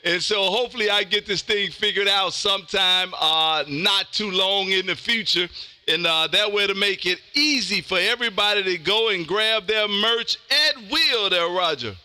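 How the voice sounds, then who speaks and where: An American man hosting a live amateur radio stream, loud and exuberant, repeating himself for hype as he chats and calls stations. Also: high-pitched, normal speed, smooth. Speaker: amateur radio livestream host.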